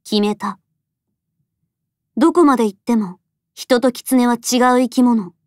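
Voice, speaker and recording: A woman playing a solemn, resolute fox deity in an audio drama, speaking in a measured, deliberate way with sorrow underneath. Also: fairly high pitch, darkish tone, no echo, studio quality, natural voice. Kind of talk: Japanese voice drama acting.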